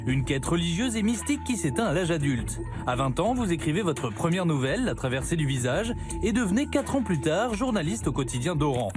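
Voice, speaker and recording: A man's voice: a little high, sing-song, a little fast. A man narrating a scripted portrait, speaking directly to its subject. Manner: addresses the guest directly as "you". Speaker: documentary narrator. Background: loud music.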